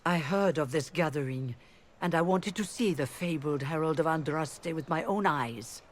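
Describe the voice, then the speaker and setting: A woman's voice: low voice, moderate pace, close to an everyday voice. A woman playing a proud, diplomatic elven leader of rebel mages in a video game, speaking formally. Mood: courteous, composed.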